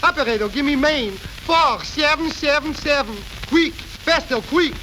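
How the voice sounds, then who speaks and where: A man's voice: very high-pitched, a little slow, bright, forceful tone, full character voice. A man playing a car dealer's fault-finding young son, clipped and dismissive, in a 1930s radio comedy. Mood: alarmed. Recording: crackly, hissy 1930s radio recording.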